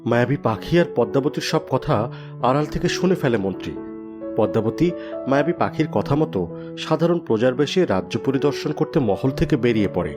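A man's voice: mid-range voice, expressive, fairly quick, music in the background. A man narrating a scripted fairy tale for a cartoon.

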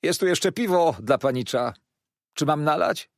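A man's voice: fairly high pitch, animated, measured pace, no room sound, studio quality, pitched above the performer's own voice. A man voicing a sly, jovial, chatty manservant who exclaims theatrically in a storybook reading. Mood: teasing. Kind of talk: dramatised audiobook reading.

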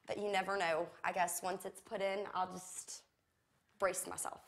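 A woman's voice: lowish voice, little pitch movement, normal speed, vocal fry, fairly bright tone. A woman homeowner giving candid, somewhat hesitant reactions to her renovated room. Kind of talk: casual reactions on camera.

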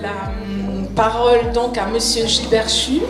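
An older woman delivers a hesitant thank-you speech in a slightly high voice. The room echoes around her and she sounds distant from the microphone, as at a public opening. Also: steady tone, measured pace, music in the background. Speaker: association president giving a welcome speech. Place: large indoor foyer at a public event. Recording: echoey hall PA, far from microphone.

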